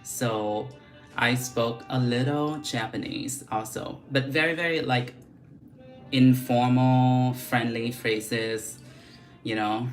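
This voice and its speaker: A woman's voice: rumbling low, steady tone, slow, soft background music. A young woman with a Vietnamese accent mixed with Australian English, chatting frankly on a makeup livestream and reading out viewer questions. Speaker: beauty vlogger doing a livestream. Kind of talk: casual livestream chat while doing makeup.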